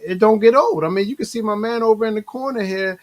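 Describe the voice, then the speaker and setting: A man's voice: sits high, quick pace. A man hosting an interview in a casual, informal and affirming way. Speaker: interview host. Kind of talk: casual chat.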